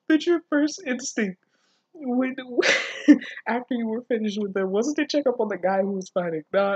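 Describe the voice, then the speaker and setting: A woman's voice: medium pitch, darkish tone, slightly rough, dry recording, muffled recording. A woman reading fan fiction aloud and reacting casually, with sarcastic, incredulous, foul-mouthed interjections.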